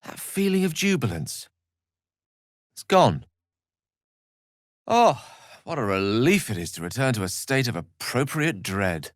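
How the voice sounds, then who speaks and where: Casting: learned, earnest fantasy game wizard; theatrical, wordy and formal delivery with animated pitch, recorded dry. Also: male voice, a little slow, long pauses, no echo. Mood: wry, courteous. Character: learned, courtly male human wizard, eloquent and earnest. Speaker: video game voice actor. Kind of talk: scripted fantasy game dialogue.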